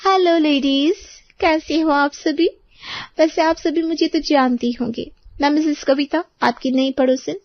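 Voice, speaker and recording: A haughty rich neighbour lady played by a woman in a story, pitched high and recorded very dry. She shows off and talks down to everyone, snappish and boastful. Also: steady tone, measured pace, dry recording, dull audio. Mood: smug, boastful. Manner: condescending, showy.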